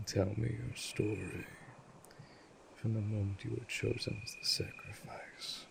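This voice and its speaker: A man performs an imperious, centuries-old vampire lord for a fantasy audio roleplay. His voice is low and creaky, with formal, disdainful phrasing and a cold, commanding temper. Clear night-time cricket ambience sits behind him. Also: flat delivery, a little slow, background noise. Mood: probing, menacing. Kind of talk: scripted audio roleplay drama.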